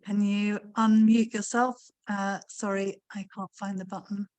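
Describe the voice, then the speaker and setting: A woman hosting an online panel discussion, speaking in a measured way with frequent ums and uhs. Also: medium pitch, flat delivery. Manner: warm measured hosting with many fillers. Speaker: panel host. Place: video call.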